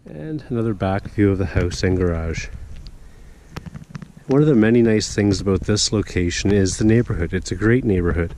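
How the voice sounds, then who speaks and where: A man working as a real estate agent, chatting casually as he guides the viewer on a walkthrough, a bit hoarse from a cold. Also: fairly low pitch, moderate pace. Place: outdoors, walking around a property in the cold. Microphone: handheld camera while walking. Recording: outdoor noise with passing vehicles.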